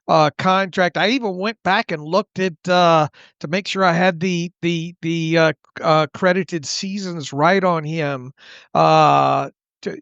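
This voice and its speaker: A man from the southern United States with a Southern accent, co-hosting a sports podcast in casual, halting speech that stumbles and repeats words. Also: a little high, a bit bright, smooth, dry recording, muffled recording.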